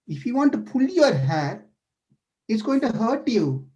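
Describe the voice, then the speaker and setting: A man's voice: very high voice, sing-song, measured pace, a little mellow, muffled recording, pitched above the performer's own voice, full character voice. A man voicing a playful, caring male deity who eagerly questions a frightened sage in a devotional story. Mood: curious, eager. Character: playful caring divine lord, male, curious.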